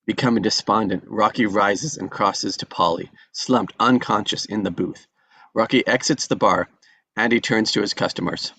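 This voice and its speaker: A man's voice: on the low side, moderate pace, sharp tone, slightly rough, no echo. A man reading stage directions as scripted narration at a table read.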